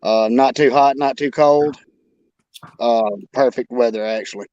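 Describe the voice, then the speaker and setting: A man's voice: medium pitch, fairly even intonation, unhurried, darkish tone, no echo. Easygoing man on dull, dry call audio. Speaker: livestream guest, metal detecting hobbyist. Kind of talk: casual chat. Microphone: internet video call.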